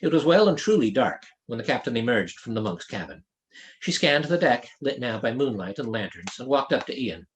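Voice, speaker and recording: Man narrating fiction with lively, varied pitch. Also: fairly quick, slight background noise. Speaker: author reading own novel. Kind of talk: scripted audiobook narration of a fantasy novel.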